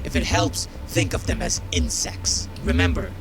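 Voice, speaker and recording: An actor playing a blunt, mocking, foul-mouthed military sergeant briefing a new officer in a video game. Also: male voice, normal speed, faint music behind, natural voice, low-quality recording. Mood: cold, blunt. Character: gruff, foul-mouthed, callous male military medical sergeant. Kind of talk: game character dialogue.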